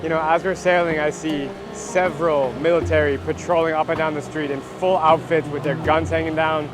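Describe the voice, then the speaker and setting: An American man in his thirties speaks as a travel vlogger in a fairly high voice. His delivery is informal and enthusiastic, and music plays clearly behind him. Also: flat delivery, brisk. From United States. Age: 30s. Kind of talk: casual travel vlog.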